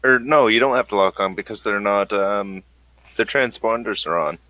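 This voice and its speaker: A man acting as game master, narrating a tabletop game in a halting way with frequent pauses. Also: lowish voice, bright, forceful tone, no room sound.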